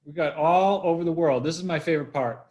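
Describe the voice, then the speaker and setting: A man's voice: fairly high pitch, quick pace, a little mellow. A man from Boston hosting a live online interview, chatty and upbeat, talking straight to his viewers. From Boston, USA. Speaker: host. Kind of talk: livestream interview.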